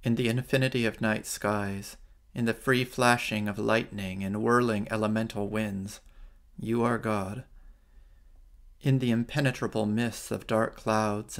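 Brief: American man in his forties, priest leading evening prayer from home over a webcam, gravelly voice, slow and measured. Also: fairly low pitch. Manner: slow, measured liturgical reading. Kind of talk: reflective homily and night prayer. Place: living room at home, indoors at night. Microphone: webcam on a video stream.

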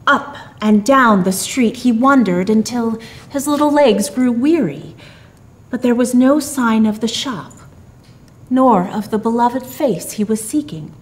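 A middle-aged woman reading a story aloud in expressive, theatrical narration with drawn-out emphasis. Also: on the high side. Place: small dark theatre stage, indoors.

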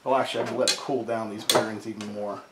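A man's voice: on the low side, level tone, very fast. A middle-aged man casually explaining repair steps at his workbench, often asking "right?" as he talks, recorded by a camera mic in a cluttered home workshop. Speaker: chainsaw repair video host. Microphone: camera mic on a tripod, speaker at the workbench. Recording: echoey workshop with background noise.

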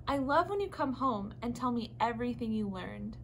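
A young woman reads a children's story in a fairly high voice. The recording carries a faint background noise. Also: lively intonation, moderate pace. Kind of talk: reading a children's story aloud.

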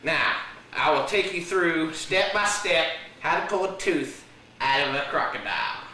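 배우가 연기하는 허풍 센 호주 악어 조련사 남자, 농담을 좋아하고 느릿한 시골 말투에 과장된 호주 억양, 멀리서 울리는 방에서 녹음됨. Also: male voice, on the high side, unhurried, pushed and bright, a little hiss or hum. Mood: folksy boastful. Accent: put-on Australian. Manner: jokey, folksy, drawling, laughs at own jokes. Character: boastful joking Australian crocodile handler, man. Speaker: guest playing a crocodile dentist. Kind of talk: comedy sketch acting. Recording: echoey room, heard from a distance.

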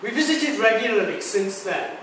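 A man's voice: high-pitched, big pitch swings, moderate pace, fairly bright tone, slight background noise. A British-born man in his sixties giving a talk to an audience, chatty and joking with them. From Britain. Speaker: guest speaker. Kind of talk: public talk. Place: large echoey lecture room. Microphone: far camera mic. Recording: echoey room from far off.

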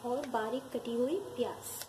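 A woman with a speech hosting a home cooking tutorial, calmly walking viewers through each step in her kitchen. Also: on the high side, a little slow. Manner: calm, instructive, addresses viewers directly. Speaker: cooking channel host. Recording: noisy home recording with background ambience.

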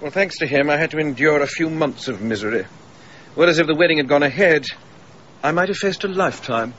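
A man's voice: on the high side, brisk, fairly bright tone, slight background noise, close to an everyday voice. An actor playing a bitter, cutting man, a jilted bridegroom, in a radio drama. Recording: muffled radio drama, audible ambience.

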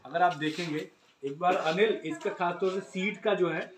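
A man's voice: high voice, moderate pace. A young man with a Hindi accent from Uttar Pradesh shows sofas in his workshop, explaining politely and at length. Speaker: sofa maker showing his work. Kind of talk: casual showroom talk to camera. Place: cluttered indoor workshop.

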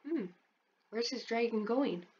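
A preschool teacher reading a picture book aloud to children, stopping often to ask questions about the pictures and pitching her voice up for the characters' lines. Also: takes it slowly, darkish tone, husky, highs cut off.

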